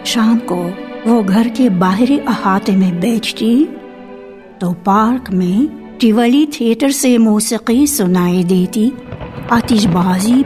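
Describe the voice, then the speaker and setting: A woman narrating a literary story for radio in a measured storytelling manner. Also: on the high side. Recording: reverberant archival radio recording with music.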